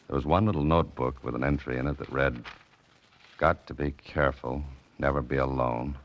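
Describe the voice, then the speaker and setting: An actor playing a tough, dogged amateur sleuth in a 1940s radio detective drama, speaking and narrating tersely in a deadpan way. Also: male voice, extremely low pitch. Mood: brooding, intent. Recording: muffled vintage radio recording with music underneath.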